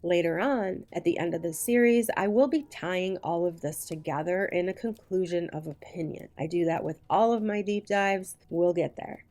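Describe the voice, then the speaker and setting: A woman narrating a scripted true crime video in a deliberate, measured way with emphatic phrasing. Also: on the low side, darkish tone. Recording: voice-over with music behind it. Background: music.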